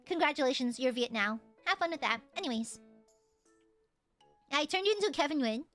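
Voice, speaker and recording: A woman streamer chatting casually with her viewers, loud and crude, teasing them and swearing a lot, then suddenly dropping into mock-serious mutters. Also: high voice, brisk, faint music behind. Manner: animated, jumps between shouting and mock-serious mutters. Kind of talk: casual live-stream chat.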